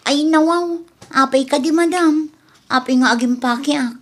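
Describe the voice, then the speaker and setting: A woman's voice: sits high, a little slow. A woman with an Ilocano Filipino accent playing a brazen, scheming, whining daughter and dismissed housemaid in a radio drama. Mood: pleading.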